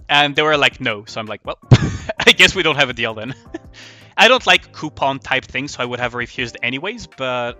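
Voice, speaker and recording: A man chatting casually on a game livestream, telling stories in a rambling, animated way with casual swearing. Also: medium pitch. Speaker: live streamer. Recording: music behind the voice.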